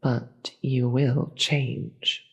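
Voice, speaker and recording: Slow, breathy demon queen, played by a voice actor. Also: medium pitch, little pitch movement, lo-fi sound, exaggerated voice. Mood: measured, grave. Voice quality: breathy, often dropping into whisper. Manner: slow, formal, measured, grave. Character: regal demon queen, solemn, seductive, persuasive victor. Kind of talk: scripted fantasy audio roleplay monologue.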